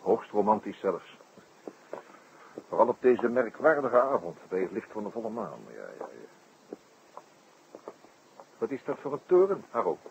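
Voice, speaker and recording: Droll, creaky-voiced police inspector. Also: male voice, lowish voice, big pitch swings. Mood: musing, amused. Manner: droll, musing, drawn-out hesitations and echoed phrases. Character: wry, unruffled male police inspector nicknamed the Shadow. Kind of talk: old Dutch radio play acting. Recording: dull, creaky old radio recording, faint noise.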